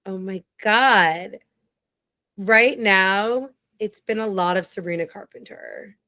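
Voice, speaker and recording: Young woman, raspy low voice, vocal fry, chatty, eager, mid pitch, dry close sound. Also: sharp tone, no room sound. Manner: chatty and enthusiastic, quick tangents. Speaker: podcast guest. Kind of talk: casual chat.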